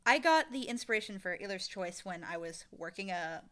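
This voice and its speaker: Bright-voiced woman chatting casually. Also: medium pitch, expressive, fairly quick. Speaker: interviewee, audio drama showrunner and voice actor.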